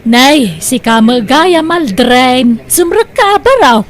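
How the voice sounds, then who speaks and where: A woman playing an agreeable mother of the bride, hospitable and drawn-out, in an Ilocano comedy drama. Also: sits high, expressive. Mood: welcoming. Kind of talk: Ilocano radio-style comedy drama acting.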